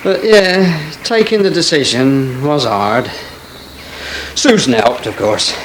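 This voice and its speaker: An actor playing a modest, good-natured pig farmer in a radio soap. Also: male voice, mid-range voice, slow pace, lightly acted, poor audio. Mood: reflective. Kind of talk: 1980s radio soap acting. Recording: archive radio broadcast, faint background noise.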